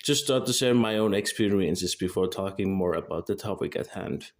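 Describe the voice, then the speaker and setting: A man's voice: low voice, normal speed. A young man in his early twenties from Finland, with a Finnish accent and Finnish as his first language, reading a scripted monologue carefully and correcting small slips as he goes. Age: early 20s. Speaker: video creator.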